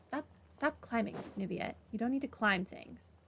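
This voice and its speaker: High-voiced woman casually commenting on a game. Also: expressive, moderate pace, creaky, fairly bright tone, slight background noise. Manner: playful, reactive. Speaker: gamer recording a playthrough. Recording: game music behind.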